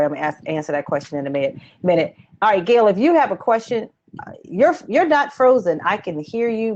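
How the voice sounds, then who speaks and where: A woman in her late forties hosting a live advice webcast from a home office, answering questions in a chatty, animated, conversational way. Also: medium pitch. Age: late 40s. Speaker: host, credit coach. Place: small home office, indoors. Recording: dull lo-fi webcam audio.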